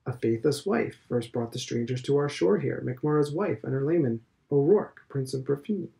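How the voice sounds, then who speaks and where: A man voicing a stern, preachy elderly headmaster who lectures with slow, heavy emphasis. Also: medium pitch, animated, creaky, mellow. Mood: lecturing. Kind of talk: audiobook character reading.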